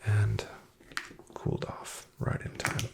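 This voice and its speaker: A man playing a shady, guarded back-alley card dealer in a soft-spoken ASMR roleplay, half-whispering his clipped, unhurried patter with a gravelly creak. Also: slight background noise, natural voice. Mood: cool, businesslike.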